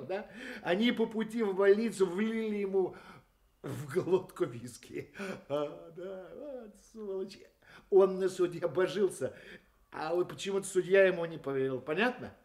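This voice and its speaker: An actor in a radio play voicing a gossipy, cynical, hard-drinking hotel detective who mutters, chuckles and rambles. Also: male voice, high voice, animated, moderate pace, a little mellow. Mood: animated, indignant. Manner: rambling, muttering, chuckling, full of interjections and tag questions. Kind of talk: Soviet-style radio play acting.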